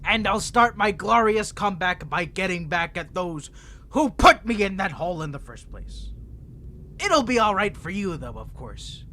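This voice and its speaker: An ancient, unhinged male vampire in chains for a dramatic audio roleplay. The voice is bright and sharp, swooping widely in pitch as he rambles, flirts and manipulates. There is clear noise behind him. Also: sing-song, normal speed, full character voice. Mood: flippant, menacing. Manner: theatrical, rambling, teasing, swinging between smug and pleading.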